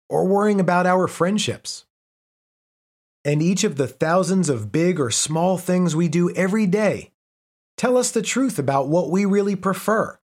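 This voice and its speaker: A man reading out a scripted article on relationships in a measured, even delivery. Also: a little high. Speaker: reader.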